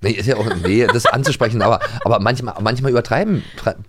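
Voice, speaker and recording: A man co-hosting a podcast about radio plays, chatting casually, halting and repeating his words. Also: mid-range voice, noisy background. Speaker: podcast co-host. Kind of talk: casual chat about audio dramas. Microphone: studio microphone.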